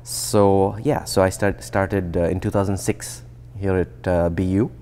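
A man in his thirties from India, a researcher explaining his path in a relaxed, thoughtful interview, often restarting sentences. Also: low-pitched, unhurried, a little hiss or hum. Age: 30s. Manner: thoughtful, with frequent restarts and fillers. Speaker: guest researcher. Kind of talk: conversational interview. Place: indoor atrium with plants and glass. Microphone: lapel.

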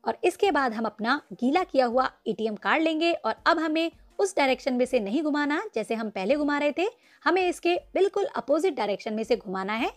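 A woman's voice: sits high, expressive, brisk, soft background music. A woman narrating a step-by-step cake icing tutorial, patiently instructing viewers and calling them friends. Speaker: cooking channel host. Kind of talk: step-by-step baking tutorial voice-over.

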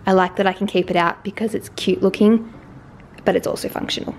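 A young woman in her twenties with an Australian accent, slightly hoarse, chatting scatterbrained and self-mocking as she films an apartment tour on a handheld camera. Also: medium pitch, fairly even intonation, brisk, a little mellow, slight background noise. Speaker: lifestyle vlogger. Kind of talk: casual apartment tour vlog. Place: small apartment indoors and balcony. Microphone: handheld camera at arm's length.

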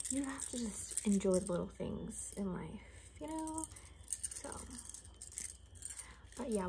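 A young woman talks in a hushed, breathy whisper with a mellow, relaxed tone. She rambles casually through an ASMR roleplay, a little sardonic in her delivery. Faint room noise sits behind her voice. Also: medium pitch, rough recording. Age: young adult. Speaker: ASMR creator playing a caretaker. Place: small home bedroom, indoors.

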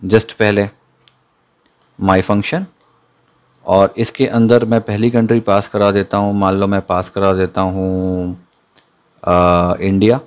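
Guy with a lowish voice teaching coding over a screen recording, reads code out slow. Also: a little mellow. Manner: thinks aloud while typing, slows down to read out code. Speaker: programming tutor. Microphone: voice-over on screen capture.

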